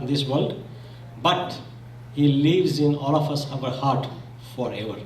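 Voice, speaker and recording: A man's voice: fairly high pitch, slight background noise. Earnest man from Zambia, echoey hall. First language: Gujarati. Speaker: speaker at a memorial meeting. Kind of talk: memorial speech. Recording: echoey hall, mic from far off.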